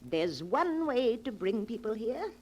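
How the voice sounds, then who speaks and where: A determined, scheming old maiden aunt in a vintage radio melodrama. Her high voice swings widely as she insists and commands, over clearly noisy old broadcast sound. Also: very animated, measured pace, darkish tone. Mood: conspiratorial, resolute. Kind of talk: 1940s radio drama acting.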